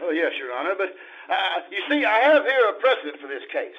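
An earnest, protesting husband and lawyer acted by a man in a comic radio play on stage. He is high-pitched for a man, harried and jealous, on a slightly muffled live recording. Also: very animated, quick pace. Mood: earnest, flustered.

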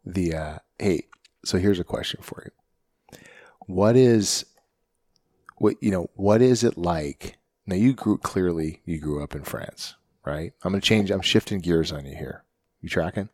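A man's voice: deep voice, measured pace, a little mellow, no echo. A man hosting a podcast interview, encouraging his guest and often checking with "right?". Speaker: podcast host, firefighter. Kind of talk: casual interview chat.

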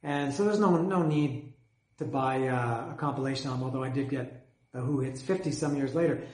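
A man's voice: medium pitch, brisk, darkish tone, reverberant. A man chatting casually about his music collection, rambling and hesitant, often repeating words, saying he is losing his voice. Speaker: music collector reviewing albums.

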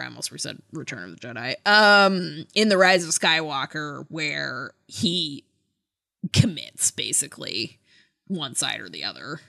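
A woman with a bright, forward voice on the lower side talks as a podcast co-host. Her pitch moves around a lot, giving her an animated delivery. The style is casual, conversational chat with plenty of hedging. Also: vocal fry. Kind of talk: casual chat about books and films.

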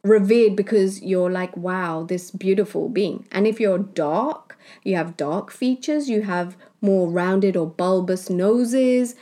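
A woman from Kenya, a spiritual healer, talking in a slow, deliberate monologue that she punctuates with "right?". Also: mid-range voice, dark tone. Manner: slow, deliberate, with tag questions. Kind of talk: reflective monologue.

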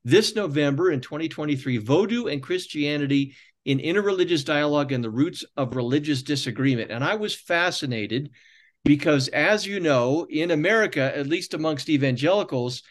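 A man's voice: on the high side, lively intonation, moderate pace. A man hosting a podcast interview, setting up his questions in a long, rambling, self-correcting way. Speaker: podcast host.

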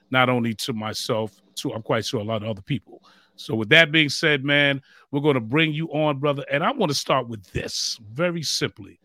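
A man hosting a casual interview show, chatting warmly and calling his guest brother and man. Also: mid-range voice, no echo, studio quality. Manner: warm preacherly asides, calls people brother and man. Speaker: talk show host.

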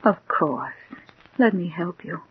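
An actress playing a sinister femme fatale who speaks in a low, soft, coaxing hush, in a 1940s radio thriller. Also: female voice. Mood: soothing, sinister. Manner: slow, coaxing, hushed. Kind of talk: 1940s radio drama acting. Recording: muffled old radio, faint hiss.

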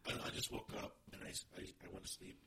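A man's voice: quick pace, a little hiss or hum. A man co-hosting a comedy podcast, chatting casually in a rambling way with many restarts. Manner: rambling, restarts a lot, animated. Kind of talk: casual banter.

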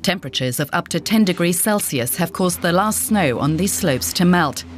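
A woman's voice: fairly low pitch, lively intonation, normal speed, faint music behind. A woman narrating a scripted television-style news report as a reporter.

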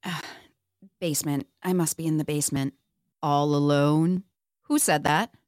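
A brave, weary young heroine, voiced by a woman with a slightly low voice and a dry, close sound. She is blunt, terse and dramatic, as in a table read of a TV script. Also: steady tone, unhurried, a little mellow, no echo. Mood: uneasy.

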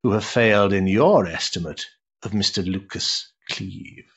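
Man playing a slow, low-voiced sardonic old duke. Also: breathy edge, dull audio. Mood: suave, condescending. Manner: pompous, ornate, sardonic phrasing. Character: urbane, cynical older English aristocrat, a duke and uncle. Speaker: actor. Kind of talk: period stage-drama acting.

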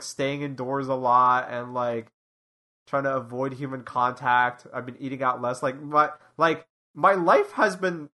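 Rambling man in his late twenties, bright voice. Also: mid-range voice. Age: 20s. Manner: rambling, many restarts and fillers. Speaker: podcast co-host. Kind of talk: casual chat.

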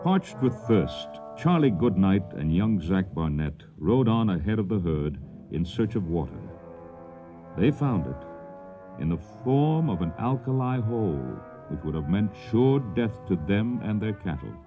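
A man's voice: fairly low pitch, very animated, a little slow, narrow, tinny audio, over music. A man hosting a radio drama with scripted, dramatic narration.

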